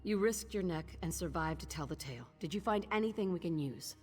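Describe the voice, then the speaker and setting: A woman's voice: lowish voice, expressive, a little fast, a little mellow, breathy edge. An actress playing a weary, guilt-ridden woman who leads a thieves' guild in a video game, speaking in an earnest, terse, commanding way. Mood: weary, relenting. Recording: long reverb, music behind.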